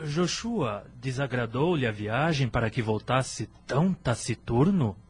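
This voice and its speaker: A man playing a grave, pious father in a religious radio drama, measured and formal. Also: medium pitch, expressive, husky. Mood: concerned. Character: grave, pious, stern but caring father, a carpenter.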